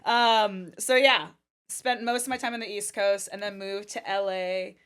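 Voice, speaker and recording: A woman's voice: medium pitch, steady tone, measured pace, a bit bright, smooth. Easygoing chatty woman co-host. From born in New York, raised near Boston, US. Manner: easygoing, chatty, says "like" often. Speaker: podcast co-host. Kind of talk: casual chat.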